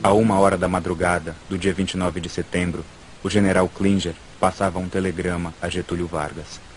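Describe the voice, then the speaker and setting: Very deep-voiced Brazilian man narrating. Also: little pitch movement, brisk. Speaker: documentary narrator. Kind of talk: scripted historical documentary narration. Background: loud background music.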